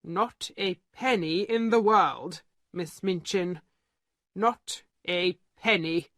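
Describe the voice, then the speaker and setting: A woman voicing a calm, blunt male lawyer who speaks in a flat, matter-of-fact way. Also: fairly low pitch, slow pace, dry recording, clean studio sound. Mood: grave.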